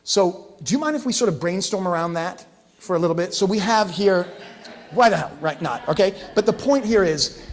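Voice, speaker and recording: Higher-voiced man presenting in a reverberant hall. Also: expressive. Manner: halting, self-interrupting, often ends on checking questions. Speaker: conference organizer and host. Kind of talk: informal live presentation to a group. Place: indoor event room in front of a live group. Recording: echoey hall with long reverb.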